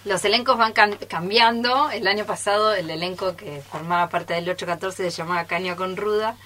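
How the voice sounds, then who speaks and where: Bright-voiced Argentine woman chatting on radio. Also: mid-range voice, normal speed. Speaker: radio theatre troupe member introducing the group. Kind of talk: informal live radio chat. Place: radio studio booth.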